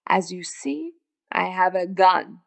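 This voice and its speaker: A woman playing a scheming phony psychic in an old-radio style crime comedy, formal, measured and imperious. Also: fairly low pitch, lively intonation, creaky, exaggerated voice. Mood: menacing. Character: phony psychic madam, grand, scheming and controlled.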